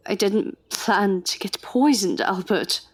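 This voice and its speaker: A woman's voice: medium pitch, takes it slowly, dry recording. A woman playing a witty, stubborn Edwardian undercover agent in a radio drama, British accent, with formal, chatty period phrasing. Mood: wry, weakened. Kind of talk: radio drama acting and first-person narration.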